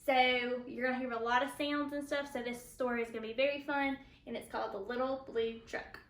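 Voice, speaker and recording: Female pre-K teacher explaining to young kids, high bright voice, echoey room. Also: steady tone, fairly quick. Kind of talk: explaining a lesson to young children. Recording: roomy, echoey sound.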